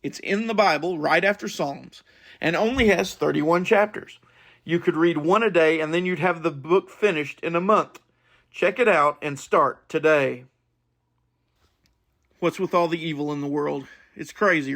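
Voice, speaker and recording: A male podcast host with a fairly high voice and noticeable vocal fry. He delivers a scripted monologue earnestly, explaining things as he goes. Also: measured pace, fairly bright tone.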